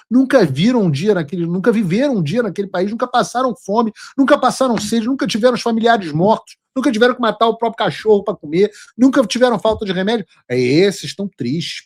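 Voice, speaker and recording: A Brazilian-accented man hosts his own commentary show, his voice a touch high and husky. He talks bluntly and emphatically, mocking his opponents and swearing casually as he lays out his political views. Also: darkish tone. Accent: Brazilian Portuguese. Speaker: show host.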